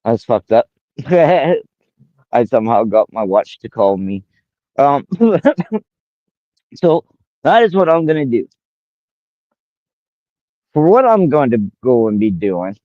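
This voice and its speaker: Slow-talking man in his forties. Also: on the low side, dry recording, muffled recording. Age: 40s. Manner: rambling, addresses a viewer by name, repeats himself. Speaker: livestreamer. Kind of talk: casual livestream talk.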